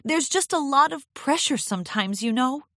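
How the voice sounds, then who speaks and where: A woman voicing an anxious, eager young pony princess in a children's audiobook, talking in a nervous, gushing, halting way. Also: high-pitched, lively intonation, fairly bright tone, dry recording, clean studio sound, voice pushed up. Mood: anxious rambling.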